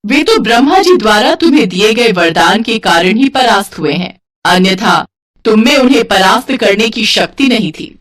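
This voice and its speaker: Woman voicing a fierce, animated warrior goddess. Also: medium pitch, normal speed, recorded from a distance, natural voice. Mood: scornful. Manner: commanding, solemn, theatrical proclamations. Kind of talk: Hindi mythological cartoon storytelling.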